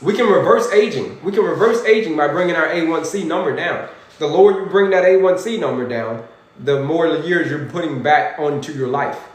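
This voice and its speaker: Man, 40s, livestream health coach; animated, preachy, repeats himself; slightly high pitch; very reverberant room. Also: normal speed. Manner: animated, repetitive, preachy, talks to viewers as folks. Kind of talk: energetic motivational livestream, reading chat comments. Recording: very echoey room.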